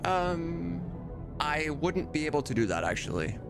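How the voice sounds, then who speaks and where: A man chats casually on a livestream, rambling and swearing freely with an amused air. His intonation swings in a lively way. Video game music plays clearly behind him. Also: fairly high pitch, measured pace. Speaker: live streamer and game modder.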